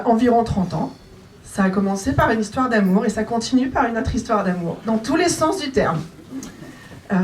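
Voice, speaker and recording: A woman in her forties speaks to a meeting about joining a local election list, in a chatty, lightly joking way. Her voice comes from some distance from the mic. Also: mid-range voice. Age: 40s. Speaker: municipal list candidate. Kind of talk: public self-introduction.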